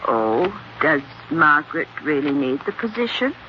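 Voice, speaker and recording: An actress playing a genteel wife with honeyed sweetness and cool menace, speaking in a measured, ladylike 1940s radio manner. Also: sits low, very animated. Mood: sweetly condescending. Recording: noisy, dull 1940s radio recording.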